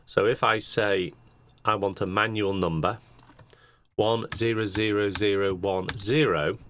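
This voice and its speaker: A man giving an unscripted software walkthrough, explaining each step methodically as a demonstrator. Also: low-pitched. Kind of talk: screen-share tutorial narration, unscripted walkthrough. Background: computer keyboard typing.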